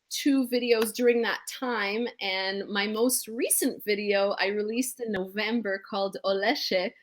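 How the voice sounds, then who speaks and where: A woman guest singer chatting in a casual video-call interview, upbeat and breaking into song as she tells her story. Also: fairly high pitch.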